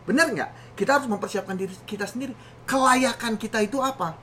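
A man in his thirties, recovering from a cold, gives an animated motivational talk to the camera from a small home office, checking in often with his listeners. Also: high voice, fast talker, a little hiss or hum. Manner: animated, gesturing with both hands, many restarts and "oke?" checks. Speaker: motivational channel host. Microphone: camera at desk distance.

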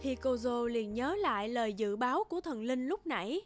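A woman's voice: fairly high pitch, lively intonation, normal speed. A woman narrating a scripted children's folktale as a storyteller. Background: background music.

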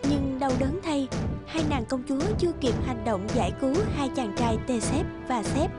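A woman's voice: high voice, normal speed. A woman narrator reading scripted fairy tales in a storytelling style. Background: music.

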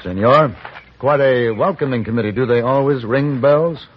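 An actor playing a cool, terse gentleman gunfighter with an American accent in a 1950s radio western. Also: medium pitch, normal speed, slight background noise, lightly acted. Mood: dry, wary. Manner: terse, dry, self-assured. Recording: dull 1950s radio recording.

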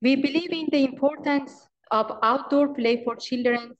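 A woman in her forties with an Iranian accent, reading a prepared presentation at a podium in a halting way as a business owner. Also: high-pitched, muffled recording. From Iran. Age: 40s. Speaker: daycare owner and manager presenting. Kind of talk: prepared presentation at public meeting. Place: large council chamber. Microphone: podium microphone.

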